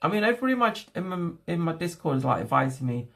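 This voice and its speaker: A young man whose first language is not English, chatting casually to his livestream viewers from home and thinking aloud in halting, restarting phrases. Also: fairly high pitch, big pitch swings, gravelly, a bit bright. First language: a home language other than English. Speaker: streamer.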